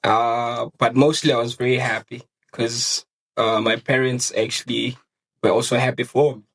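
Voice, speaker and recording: Young man, South African accent, podcast co-host, relaxed, slangy. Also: medium pitch, normal speed, rough recording. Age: young adult. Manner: relaxed, slangy, says bro a lot. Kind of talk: casual chat.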